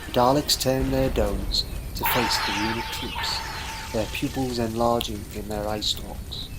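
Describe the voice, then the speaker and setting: A man's voice: mid-range voice, steady tone, unhurried, very noisy. A man reading scripted dramatic narration for an audio adventure story, with storybook delivery. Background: music.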